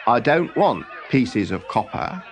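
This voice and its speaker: A man's voice: medium pitch, big pitch swings, takes it slowly, some chatter behind. A man voicing a reasonable, matter-of-fact male market trader in a children's story. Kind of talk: storybook character voice.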